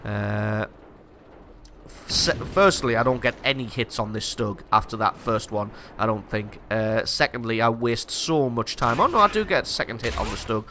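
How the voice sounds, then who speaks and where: Stuffy guy with a cold, bright punchy voice, chill self-mocking game commentary. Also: medium pitch, normal speed, slight background noise. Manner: casual, self-deprecating, explanatory. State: congested from a cold. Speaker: gaming channel host. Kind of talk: gameplay commentary.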